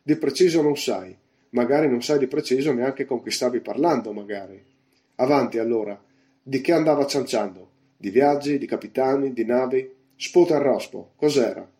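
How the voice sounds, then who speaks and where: A man voicing a bluff one-legged pirate innkeeper in a storybook, loud and emphatic, firing off orders and questions. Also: medium pitch, moderate pace, lightly acted. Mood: angry, stern. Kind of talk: audiobook character voice.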